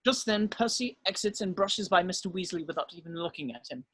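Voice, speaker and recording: A man's voice: sits high, expressive, a little fast, a bit bright, husky, dry recording. A man reading stage directions as narrator in a screenplay table read.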